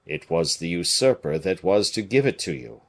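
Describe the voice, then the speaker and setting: Guy doing a solemn scheming bishop in an audiobook, low n husky, measured, placating. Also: expressive. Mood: soothing. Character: Aramis, grave, smooth-talking conspiring bishop.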